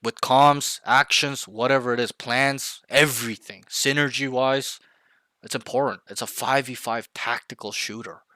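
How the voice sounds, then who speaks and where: A man's voice: medium pitch, steady tone, a little slow, bright, forceful tone, no room sound, clean studio sound. A man coaching a younger player, blunt and emphatic, repeating key points to drive them home. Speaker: game coach. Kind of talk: coaching session, direct feedback.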